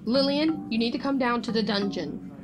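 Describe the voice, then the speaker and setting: A woman's voice: on the high side, normal speed, natural voice. A woman playing a matter-of-fact colleague in an audio drama.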